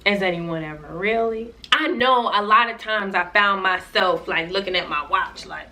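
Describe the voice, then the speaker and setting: A woman's voice: medium pitch, moderate pace, bright, forceful tone, a little hiss or hum. A young woman vlogger chatting casually in a playful, joking way about a show she watched. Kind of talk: casual reaction commentary.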